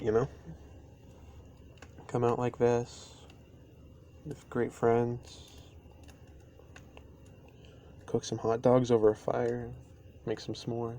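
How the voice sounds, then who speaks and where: A man playing a caring, easygoing best friend in an intimate roleplay audio, speaking softly and haltingly to the listener. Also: mid-range voice, close to an everyday voice. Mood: relaxed, easygoing. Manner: halting, unhurried, gentle. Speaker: amateur audio roleplay performer. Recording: steady ambient noise behind voice. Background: campfire ambience.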